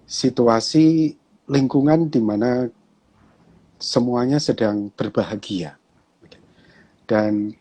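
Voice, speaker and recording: A man with a Javanese accent, a pastor talking casually and relaxed in an online conversation, chatty and quick to laugh. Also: medium pitch, breathy edge. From Java, Indonesia.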